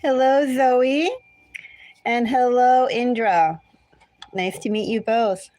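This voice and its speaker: A woman's voice: fairly high pitch, slow pace, mellow. A woman with an American accent, chatting casually and in a rambling way as she hosts a tarot reading livestream. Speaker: tarot reader hosting a livestream. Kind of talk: casual livestream chat with viewers.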